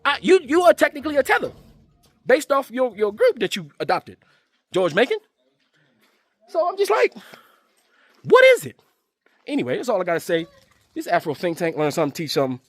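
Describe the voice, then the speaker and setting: High, lively, fast-talking man ranting. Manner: confrontational, fires rhetorical questions; slangy, profane. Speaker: commentary channel host. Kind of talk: rant-style commentary.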